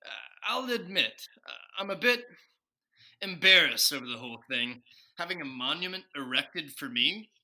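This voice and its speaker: An actor playing a stately, self-conscious male president with a formal, halting manner in a radio comedy sketch. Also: mid-range voice, animated, pushed and bright. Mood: embarrassed.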